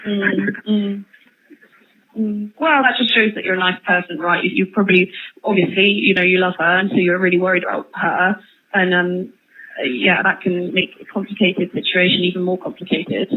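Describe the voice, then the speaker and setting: A woman's voice: lowish voice, fairly even intonation, moderate pace. A woman chatting casually and a little tentatively as an interviewer on a thin, tinny phone call. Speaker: media interviewer calling an inmate. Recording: tinny, band-limited phone line.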